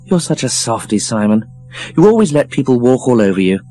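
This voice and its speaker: A man's voice: medium pitch, big pitch swings, brisk, dark tone, dry recording, faint music behind, lightly acted. Man voicing a blunt, scornful woman. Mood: chiding. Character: blunt, foul-mouthed, intimidating woman working in film.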